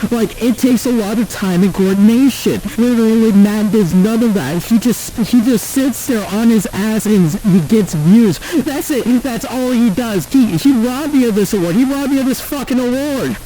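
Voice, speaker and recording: A man's voice: high voice, normal speed, hardly any pauses, warm tone, close to an everyday voice, low-quality recording. A man voicing a petulant, jealous villain in a comedic rant, whiny, indignant and sweary. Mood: whiny indignation.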